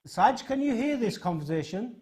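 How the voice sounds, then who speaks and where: A man hosting a phone-in talk show, taking calls in a brisk, polite way with frequent fillers. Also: high voice, very animated, a little mellow, breathy edge. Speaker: host.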